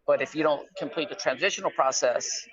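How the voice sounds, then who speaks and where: A man's voice: fairly high pitch, fairly quick, a crowd in the background. Middle-aged man on a dull phone line. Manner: conversational, explanatory, peppers talk with "right?" and "you know". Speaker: guest calling from prison. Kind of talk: casual phone conversation. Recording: slightly dull, lo-fi phone call.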